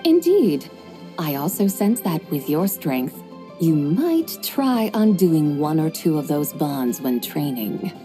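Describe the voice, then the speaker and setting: A woman's voice: sits low, sing-song, takes it slowly, dark tone, faint music behind, full character voice. A woman voicing a drowsy, formal mystic woman with grand, old-fashioned manners in a game cutscene. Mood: stately.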